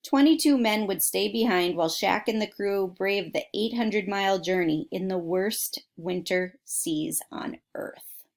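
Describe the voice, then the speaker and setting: A woman's voice: medium pitch, a little slow. A woman reading a children's nonfiction story aloud like a teacher, with dramatic emphasis and direct asides to her listeners. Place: at home.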